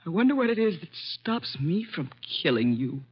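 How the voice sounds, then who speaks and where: Muffled old radio recording, wounded, embittered woman secretary, intense, melodramatic, widely swinging pitch. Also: very animated, moderate pace, slightly rough. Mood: hurt, seething. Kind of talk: 1940s-50s radio drama acting. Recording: dull vintage radio recording.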